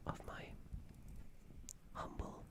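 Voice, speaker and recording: A streamer voicing a gentle, comforting alien in a whispered ASMR roleplay, soothing and a little hesitant and naive. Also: slow pace, lightly acted. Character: gentle, comforting green alien caring for a crashed human.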